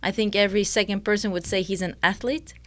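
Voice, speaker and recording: A young woman from Israel, a fighter guest answering interview questions thoughtfully, pausing often. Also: medium pitch, steady tone, fairly bright tone, no echo. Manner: thoughtful, with frequent pauses and fillers.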